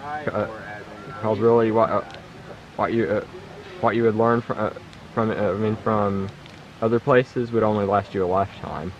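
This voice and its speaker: Halting low-voiced man from North Carolina. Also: fairly even intonation, dull audio. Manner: halting, earnest, with many restarts. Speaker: camper interviewee. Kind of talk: interview answers.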